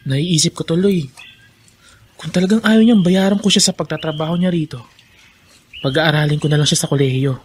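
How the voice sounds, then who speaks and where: A man's voice: fairly high pitch, a little fast, long pauses, natural voice. Principled male landowner role, acted by a man for Filipino radio drama: earnest, English sprinkled in, slight background noise. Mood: thoughtful. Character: kind, principled young male plantation owner.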